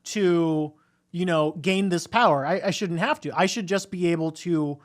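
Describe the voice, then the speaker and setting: Man, high-pitched voice, casual podcast co-host, informal, opinionated. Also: normal speed. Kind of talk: casual film discussion.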